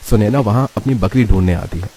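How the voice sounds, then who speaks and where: A man's voice: fairly low pitch, fast talker, dark tone. A man narrating a scripted moral story as its storyteller.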